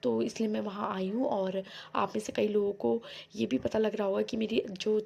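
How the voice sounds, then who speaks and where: A woman vlogging about her day in a casual, rambling way, a little hoarse from being unwell. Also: mid-range voice, fairly even intonation, moderate pace, darkish tone. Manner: chatty, rambling, explains herself to viewers. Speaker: vlogger housewife. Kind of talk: casual daily vlog chat.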